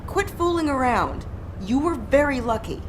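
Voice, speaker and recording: High-voiced, sensible game colleague. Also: female voice, very animated, normal speed, noisy background. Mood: concerned, chiding. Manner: cautioning, matter-of-fact. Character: sensible, caring female colleague who gently scolds. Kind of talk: video game voice acting.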